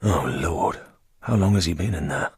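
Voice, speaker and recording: Low, gravelly, sardonic British secret agent. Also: male voice, normal speed. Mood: inquisitive. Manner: dry, sardonic, understated. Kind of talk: radio drama acting.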